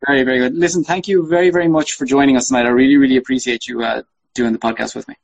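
A man's voice: medium pitch, fairly even intonation, rapid-fire, vocal fry. A man hosting a podcast, asking his guest questions in a casual, polite, conversational way. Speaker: podcast host, interviewer.

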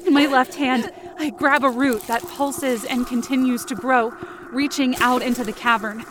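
Woman acting high-voiced, frightened, determined young heroine. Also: fairly even intonation, normal speed, background noise, natural voice. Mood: fearful, tense. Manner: tense, breathless, intense present-tense storytelling. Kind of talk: dramatic first-person scripted narration, audio fiction. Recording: music bed under the voice. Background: dramatic music.

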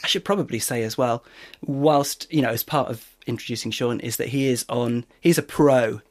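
A man hosting a podcast in relaxed, chatty talk, laughing often. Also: mid-range voice, moderate pace. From northeast England. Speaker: podcast host. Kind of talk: casual chat.

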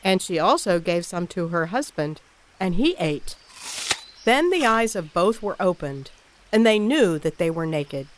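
A woman's voice: fairly low pitch, very animated, a little slow, slight background noise. A woman giving scripted narration of a Bible story as the narrator of a cast production.

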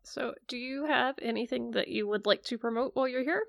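A woman hosts a relaxed podcast, sounding chatty and quick to laugh. Her fairly high voice has a gravelly fry. The recording is dry and close. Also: dry recording. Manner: chatty, laughs often, uses filler words. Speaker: podcast host. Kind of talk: casual podcast chat.

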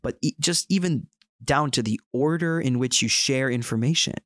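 A man's voice: mid-range voice, moderate pace, no room sound. Dry-sounding man hosting a casual podcast. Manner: relaxed, thoughtful, explains with examples. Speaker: podcast host.

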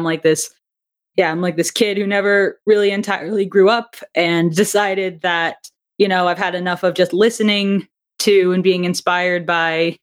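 A woman chatting casually as an interview guest, rambling a little hesitantly and correcting herself as she goes. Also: lowish voice. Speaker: podcast guest, audio drama creator.

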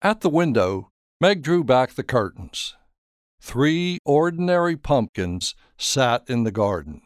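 A man narrating a scripted story in a measured, dramatic storytelling manner. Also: medium pitch, big pitch swings, no echo, studio quality. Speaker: author and narrator.

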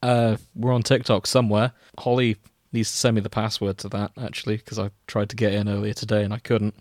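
A man's voice: on the low side, little pitch movement, a little fast, darkish tone, dry recording, studio quality. A man, chatting casually as the host of a podcast.

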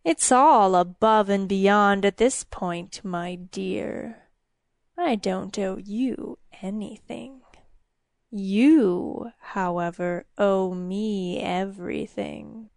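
Woman voicing a slow, hissing little storybook demon. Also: medium pitch, no room sound, clean studio sound. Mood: mocking, grudging. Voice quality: crackling, hissing, breathy whisper. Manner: slow, drawn-out, menacing. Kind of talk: audiobook fairy-tale character voice.

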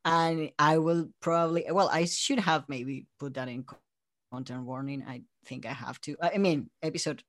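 A man's voice: a little high, steady tone, moderate pace, a bit bright, no room sound. Spanish-accented man chatting, close dry sound. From Spain. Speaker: interview guest, audio drama creator. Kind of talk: casual interview chat.

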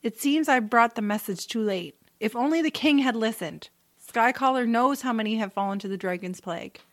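A woman's voice: a little high, a little fast, close to an everyday voice. Woman acting an earnest, noble fantasy heroine. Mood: grave. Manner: formal, grave, courteous. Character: earnest, noble, wounded female fantasy traveller. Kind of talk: family audio drama acting.

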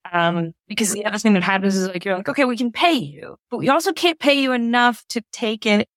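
A woman's voice: mid-range voice, a little fast, a bit bright, no echo. A woman chatting casually as an interview guest, candid and wry, often acting out imagined remarks to explain herself. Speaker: interview guest, audio drama creator. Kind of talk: casual chat.

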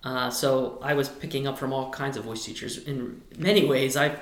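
A man with a high tenor speaking voice, a boys choir director, answering interview questions in a thoughtful, rambling way. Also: steady tone, normal speed, a bit bright, echoey room.

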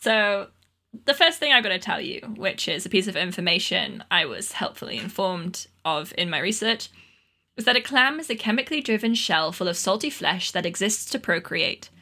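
A woman's voice: medium pitch, expressive, moderate pace, pushed and bright. A woman co-hosting a podcast, telling a story in a chatty, playful, self-deprecating way. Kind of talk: casual comedic storytelling.

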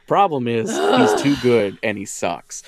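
A man's voice: mid-range voice, lively intonation, a little slow, no room sound. A man co-hosting a podcast, casually chatting and reading game lore aloud, with sweary, wry asides. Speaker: podcast co-host.

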